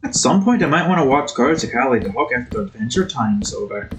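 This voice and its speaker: A man's voice: medium pitch, lively intonation, quick pace. A man hosting a game video with casual, rambling commentary in a put-on Scottish accent that wavers. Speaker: gaming video host. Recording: faint background noise.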